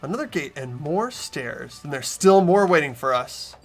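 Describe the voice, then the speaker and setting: Man, game host and narrator, fairly high pitch, some fry, casual but expressive, faint music behind. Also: moderate pace. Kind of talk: casual commentary mixed with expressive script reading.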